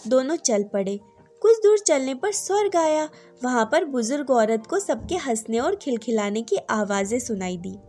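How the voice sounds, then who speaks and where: A high-voiced woman narrates a scripted tale. Her tone is soft-edged and mellow rather than bright, and clear music plays underneath. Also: big pitch swings, moderate pace. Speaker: storyteller.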